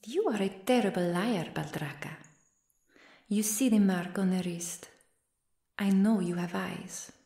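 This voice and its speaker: A woman's voice: mid-range voice, measured pace, gravelly, long pauses, warm tone, reverberant. A woman playing a stern female vampire, cold, formal and disdainful, in an ASMR roleplay. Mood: cold scorn. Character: stern, scornful female vampire, loyal servant of a countess. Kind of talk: ASMR roleplay audio drama.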